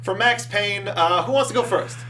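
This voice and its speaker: A man's voice: sits high, normal speed, a crowd in the background. A man hosting a casual panel discussion about films, talking with co-hosts. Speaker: host.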